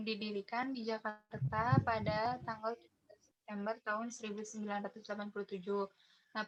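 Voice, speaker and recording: Young female student presenter, monotone delivery, lo-fi recording. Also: fairly high pitch. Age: young adult. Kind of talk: class presentation. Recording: dull lo-fi audio.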